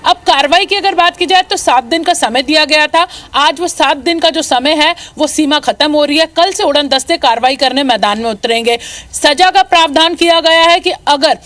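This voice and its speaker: A woman's voice: sits high, moderate pace, a bit bright. A woman giving a live news report as a correspondent, in long, wordy running explanations.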